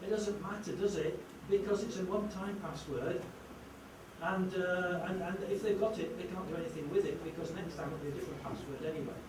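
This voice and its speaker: A man's voice: high-pitched, brisk. A man lecturing on security, emphatic, with punchy rhetorical questions. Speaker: conference speaker on security. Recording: echoey hall, distant mic, noticeable noise.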